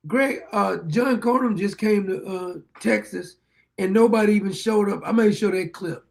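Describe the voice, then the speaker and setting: A man hosting a political talk show, ranting bluntly and rambling, his voice going hoarse as he keeps clearing his throat. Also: sits high, normal speed. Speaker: show host. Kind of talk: political commentary monologue.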